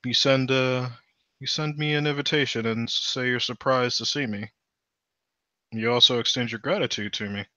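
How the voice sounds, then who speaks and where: A man's voice: mid-range voice, steady tone, normal speed, bright, forceful tone, dry recording, highs cut off. A man voicing a shuffling undead bone merchant with a thick Turkish accent who fumbles through his words. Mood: wary.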